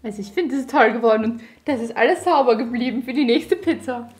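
High-pitched playful young woman. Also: fast talker, darkish tone. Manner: playful, teasing. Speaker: YouTube host. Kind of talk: playful casual challenge vlog chat. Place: indoor room, seated at a table.

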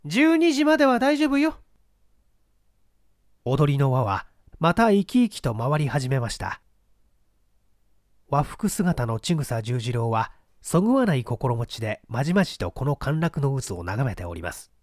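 A man narrating mystery fiction as a scripted literary reading, with measured, formal storytelling. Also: medium pitch, sing-song, a little mellow, no echo, studio quality. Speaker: literary narrator, stage performer.

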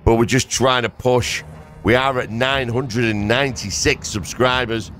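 A hoarse man giving casual, rambling gameplay commentary as a gaming YouTuber, thanking his viewers. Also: mid-range voice, fairly even intonation, measured pace, fairly bright tone, faint music behind.

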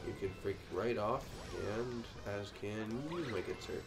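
A young man with a somewhat low voice, stuffed up and congested, talking through a video game session. His remarks come out dry and offhand, with faint music running behind him. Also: measured pace. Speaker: co-host playing a video game. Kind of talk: casual gaming chat.

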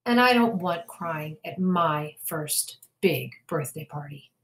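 A woman's voice: sits low, expressive, slow, fairly bright tone, heavily acted. A woman voicing an earnest, curious little boy rabbit in a storybook, with a childlike, questioning manner. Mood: earnest, puzzled.

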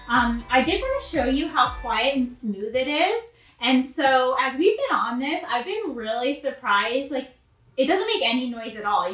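A woman in her thirties gives a casual product review to camera. Her voice is fairly high, and the room rings around her with some music underneath. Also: a bit bright. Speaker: fitness equipment reviewer. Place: bare-walled home gym in a garage, indoors. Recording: echoey room, faint music behind.

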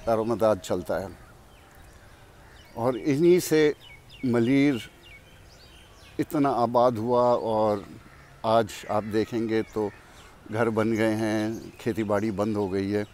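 An older man with a Pakistani accent, speaking in an unhurried, reflective way as he gives an informal explanatory tour of his farm outdoors. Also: fairly low pitch, fairly even intonation, a little mellow. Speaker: farmer and vlog host. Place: outdoors beside a farm well. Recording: clear outdoor ambient noise, birdsong. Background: birds and outdoor farm ambience.

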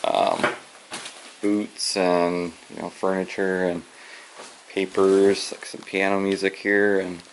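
A man casually narrating as he explores an abandoned farmhouse, musing quietly and sometimes dropping to a whisper, recorded on a handheld camera mic indoors. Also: little pitch movement, takes it slowly, a little hiss or hum. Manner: musing, low-key, trailing off into soft whispers.

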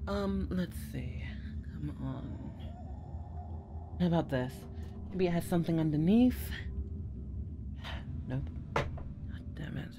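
Woman playing a flirty teasing gf, low-ish voice, slow and drawn out, bit of hiss behind. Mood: frustrated. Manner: teasing, flirtatious, sighing, swearing. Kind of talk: audio roleplay.

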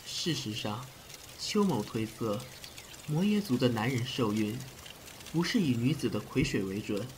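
A man's voice: mid-range voice, animated, close to an everyday voice, low-quality recording. Man playing a formal ancient physician, faint noise. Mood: explanatory. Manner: formal, measured. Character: frank, deferential male physician of ancient China. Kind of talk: period audio drama acting.

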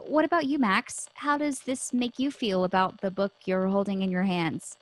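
A woman's voice: medium pitch, steady tone, normal speed, natural voice, low-quality recording. Woman acting as candid bookish radio co-host. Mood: thoughtful. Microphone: close studio mic on boom arm.

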